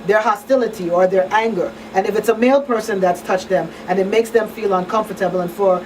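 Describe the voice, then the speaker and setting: Female talk show host, middle-aged, medium pitch, earnest and emphatic; slightly off-mic, faint background noise. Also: a little fast, darkish tone, distant mic, poor audio. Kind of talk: conversational advocacy talk. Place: small radio studio. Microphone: boom-arm studio microphone.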